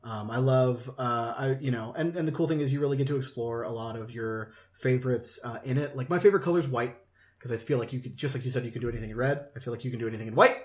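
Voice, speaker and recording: A man's voice: mid-range voice, fast talker. Enthusiastic man chatting on a podcast. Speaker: podcast co-host. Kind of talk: casual hobby podcast chat.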